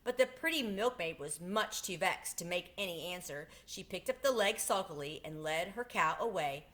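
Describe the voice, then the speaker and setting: A woman's voice: fairly low pitch, lively intonation, normal speed, a bit bright. A woman reading a children's storybook aloud as a storyteller.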